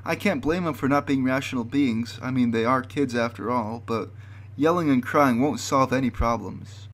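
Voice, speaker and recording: A man's voice: mid-range voice, moderate pace. A man narrating a scripted explanatory video.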